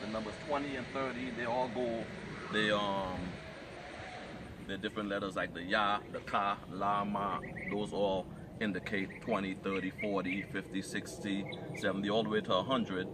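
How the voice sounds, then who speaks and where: A man teaching a Hebrew class, explaining in a measured way and reading scripture aloud. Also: lowish voice, steady tone, background noise. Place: outdoor training camp.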